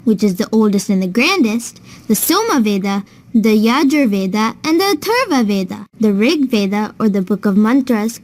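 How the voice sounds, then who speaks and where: A woman playing a knowledgeable big sister in a children's cartoon, with a fairly high voice. She explains patiently and kindly, as if telling a lesson to a younger child. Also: a little mellow, close to an everyday voice. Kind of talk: scripted children's educational storytelling.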